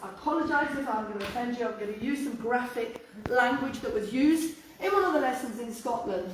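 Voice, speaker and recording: A woman, a councillor speaking at a public meeting in a loud, blunt, emphatic voice. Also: fairly high pitch, expressive, fairly quick. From North Wales. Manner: blunt, emphatic, rising to indignant questions. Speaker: county councillor. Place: chapel hall. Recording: echoey hall from far off with faint noise.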